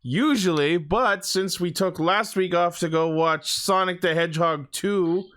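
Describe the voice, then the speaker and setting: Clear, slightly high-voiced male podcast host. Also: unhurried, a bit bright. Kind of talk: casual fan chat.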